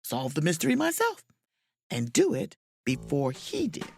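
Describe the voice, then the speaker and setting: A woman's voice: low-pitched, wildly swooping pitch, normal speed, creaky, full character voice. A woman acting a proud, self-dramatizing chief mate who narrates an audio drama as a wry diary. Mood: indignant, determined. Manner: theatrical, wry, emphatic.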